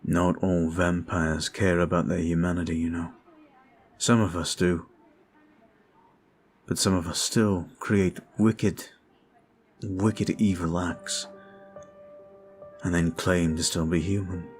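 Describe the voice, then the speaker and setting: A man voicing a brooding male vampire in an ASMR roleplay, talking in a slow, intimate, confiding way. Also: sits low, steady tone, faint music behind.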